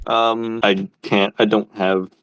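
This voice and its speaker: Low, gravelly man at the game table. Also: flat delivery, slow, fairly bright tone, no room sound, low-quality recording. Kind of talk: casual, hesitant game talk.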